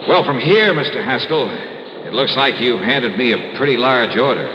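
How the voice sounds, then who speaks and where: An actor playing a shrewd, laconic American investigator in a 1950s radio drama, probing and dry. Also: male voice, mid-range voice, expressive, moderate pace, very noisy, natural voice. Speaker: lead actor in a detective radio serial. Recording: muffled vintage radio recording, music underneath.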